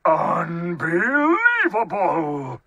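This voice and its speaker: Big grumpy storybook bear, super dramatic and blustery, voice jumps way up, kinda husky. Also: medium pitch, wildly swooping pitch, very slow, bright, forceful tone, no room sound, pitched above the performer's own voice, heavily acted. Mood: angry, exasperated. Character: gruff, grumpy, exasperated bear, loud and easily distraught.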